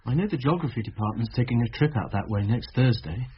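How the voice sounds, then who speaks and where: A thoughtful amateur sleuth in a radio drama. His voice is very breathy and husky, moving freely up and down as he muses and questions. The sound is a little muffled. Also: mid-range voice, animated, fast. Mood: musing. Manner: musing, inquisitive. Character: thoughtful man puzzling out a crime. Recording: dull, band-limited radio drama recording.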